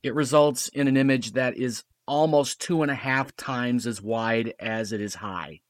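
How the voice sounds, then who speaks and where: A man's voice: medium pitch, measured pace, no room sound, clean studio sound. A man as a podcast guest, explaining technical things emphatically in a casual chat.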